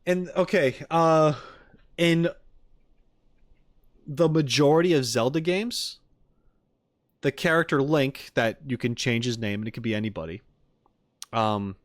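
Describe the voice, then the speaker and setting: A man's voice: medium pitch, no echo. A man chatting casually on a livestream as he reacts to game clips, opinionated and jokey, speaking into a close microphone.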